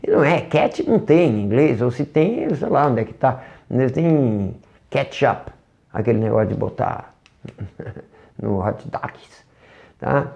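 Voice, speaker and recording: An English teacher with a Brazilian Portuguese accent gives an online lesson, animated, asking rhetorical questions and demonstrating sounds. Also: medium pitch, takes it slowly, gravelly.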